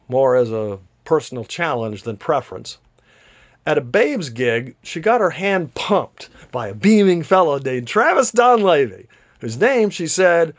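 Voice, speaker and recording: A man reading a literary story aloud as an author would, with a theatrical delivery. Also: on the high side. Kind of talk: literary read-aloud, scripted narration.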